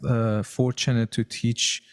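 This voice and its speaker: Thoughtful man talking slowly about teaching. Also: mid-range voice, flat delivery, clean tone. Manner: thoughtful, searches for words in a second language. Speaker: composer and music educator, podcast guest. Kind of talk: casual conversation.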